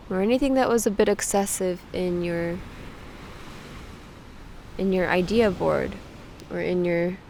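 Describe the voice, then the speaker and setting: Slow-paced woman guiding a meditation. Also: on the low side, vocal fry, a little mellow, a little hiss or hum. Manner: slow, pausing, soothing instruction. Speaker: guided meditation host. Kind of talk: guided meditation.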